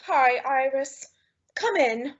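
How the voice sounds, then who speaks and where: Woman as sitcom housewife, extremely high pitch, effusive, theatrical, old-time radio comedy, lo-fi sound. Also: lively intonation, slow pace. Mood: hesitant, troubled. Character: emotional, dramatic, doting young-ish housewife. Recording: dull, lo-fi audio drama recording.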